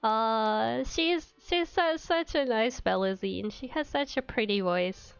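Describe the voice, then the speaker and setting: A woman's voice: on the high side, slow pace, gravelly, smooth, dry recording, muffled recording, faint music behind. A woman streamer chatting casually with her viewers while playing a game, sounding a little under the weather. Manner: chatty, addresses viewers by name. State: sick with a cold. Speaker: VTuber streamer.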